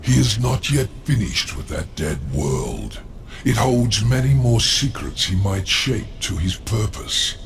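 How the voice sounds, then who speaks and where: An actor playing a proud, weary angel commander in a video game, solemn and formal in manner. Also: male voice, fairly low pitch, big pitch swings, unhurried, gravelly, full character voice. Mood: grave. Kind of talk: video game cutscene acting. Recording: noisy rain-like ambience.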